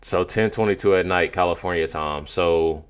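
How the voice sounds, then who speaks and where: An American man doing casual political commentary as a video host, in an emphatic, slangy, halting way with many repeats. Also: deep voice, clear, resonant tone.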